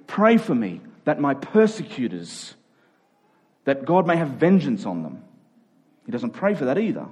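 A man preaches, with a medium-pitched voice that has a slightly rough, husky edge. He delivers a sermon, circling back on key phrases to drive them home and checking in with short rhetorical questions. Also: darkish tone.

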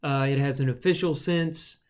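A man with a thin, reedy voice, co-hosting a podcast and explaining Bible passages in a thoughtful, unhurried way, with frequent fillers. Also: medium pitch, fairly even intonation, clear, resonant tone. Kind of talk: casual teaching conversation.

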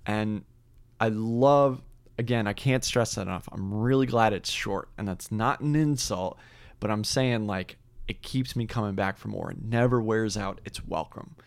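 A man's voice: fairly low pitch, moderate pace, gravelly, dry recording. A man co-hosting a podcast, reviewing an album in casual chat and repeating words for emphasis.

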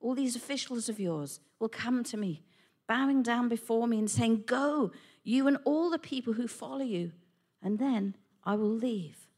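A woman voicing Moses, a stern older male prophet delivering a solemn warning, her voice husky. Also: fairly high pitch, expressive, moderate pace, darkish tone, voice pushed up.

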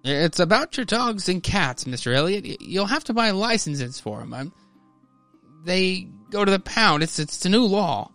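A man's voice: high voice, sing-song, normal speed, pushed and bright, no echo, soft background music, voice pushed up, heavily acted. A man voicing an apologetic, hesitant local officer in a radio play style comic reading. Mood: official, hesitant. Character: apologetic officer serving notice of pet license law.

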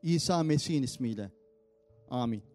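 A man whose first language is Turkish, speaking English with a Turkish accent as he leads communion in church with an earnest, simple, unhurried manner. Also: medium pitch, animated, a little mellow, soft background music. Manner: earnest, simple phrasing with pauses. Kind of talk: communion meditation and prayer. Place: church service.

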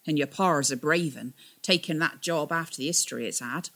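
A woman voicing an old male tug-boat captain who talks in a rustic dialect, loud and scolding. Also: deep voice, brisk, heavily acted. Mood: grave.

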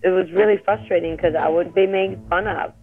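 A man's voice: high-pitched, steady tone, fairly quick, phone-quality sound. A man in his thirties who grew up in Sweden and learned Swedish first, telling his story casually and reflectively with many "like" fillers. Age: 30s. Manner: reflective, heavy use of "like" fillers. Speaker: interview guest.

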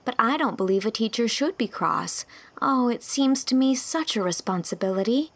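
A woman's voice: a little high, expressive, normal speed, voice pushed up. A woman voicing an earnest, idealistic young female teacher in an audiobook, speaking emphatically and pleadingly. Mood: worried. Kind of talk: audiobook character dialogue.

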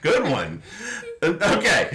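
Bright-voiced man bantering about comics. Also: on the high side, wildly swooping pitch, drawn-out words. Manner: mock-serious, playfully teasing. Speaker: comedy podcast host. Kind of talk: casual comic banter, describing comic strips aloud.

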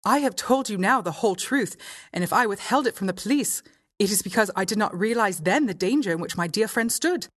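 A woman's voice: medium pitch, animated, fairly quick, fairly bright tone, voice pushed up. A woman voicing a timid but shrewd young lady earnestly recounting what she saw. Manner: earnest, confiding.

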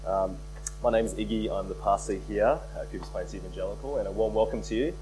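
A man preaching a sermon as pastor, hoarse from a cold, earnest and repeating key phrases for emphasis. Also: lowish voice, quick pace, warm tone, a little hiss or hum, low-quality recording. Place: church.

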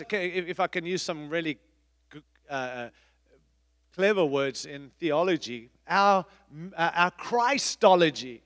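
High-voiced middle-aged man preaching. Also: big-room sound. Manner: emphatic, repetitive preaching with swings in loudness. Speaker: pastor. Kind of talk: sermon. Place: church.

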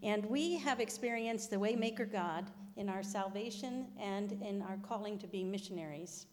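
A woman's voice: mid-range voice, moderate pace, a little mellow. An American woman from New Jersey, a missionary, giving a prepared testimony to a congregation. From New Jersey, United States. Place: church hall with long reverb. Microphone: handheld microphone. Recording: reverberant hall.

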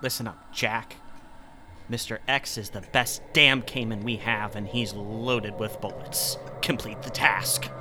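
A man's voice: lowish voice, steady tone, a little slow, background noise, voice pushed down, natural voice. A man voicing a curt, commanding special agent who gives orders in an improvised audio role-play. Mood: stern, insistent. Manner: clipped, commanding.

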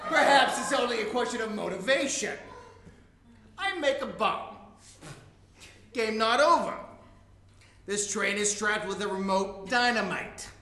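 An actor playing a theatrical, needy, taunting evil-genius spy in a live comic play reading, bombastic and petulant. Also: male voice, sits high, unhurried, long pauses, pushed and bright, full character voice. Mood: gleeful, menacing. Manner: bombastic, petulant, gleefully menacing. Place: live performance in a room. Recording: roomy live recording with background noise.

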